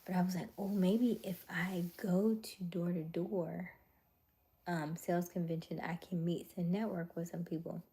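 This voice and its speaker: A woman with a low, mellow voice that has a noticeable gravelly fry chats casually with her viewers. She is relaxed and talkative, often dropping into a soft whisper between louder remarks. She sounds like a young adult speaking into a simple home mic. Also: unhurried. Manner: chatty, laughs often, drops to soft whispers. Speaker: livestream host chatting with viewers. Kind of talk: casual live chat reading viewer comments.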